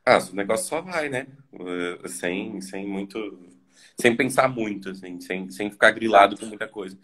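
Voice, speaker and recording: A young man from Brazil, a former student, chatting on a video call in a relaxed, halting way with many fillers. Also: on the low side. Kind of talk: casual video call chat. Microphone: webcam on a video call.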